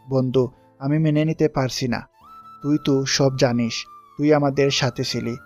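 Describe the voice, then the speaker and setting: A man's voice: mid-range voice, darkish tone, close to an everyday voice. Man acting a hurt, bitter young friend. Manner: earnest, bitter complaining. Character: aggrieved teenage boy, hurt by best friend's betrayal. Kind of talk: Bengali audio story acting.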